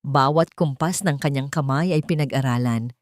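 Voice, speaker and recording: A woman narrating a scripted dramatic story in a measured, theatrical storytelling manner. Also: extremely low pitch, dry recording, studio quality.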